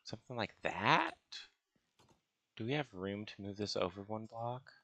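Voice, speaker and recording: A man with a gravelly voice giving casual gaming commentary as he builds, musing and hesitating as he talks to himself. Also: lowish voice, expressive, unhurried, sharp tone, slight background noise. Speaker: gaming video host. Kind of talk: casual Minecraft building commentary, thinking aloud.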